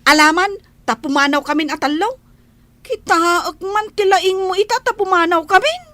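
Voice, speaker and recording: A woman's voice: very high voice, normal speed, pushed and bright, no echo. A woman playing an earnest, plain-spoken young housemaid in a Filipino radio drama. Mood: fed up, defiant. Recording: music bed under the dialogue.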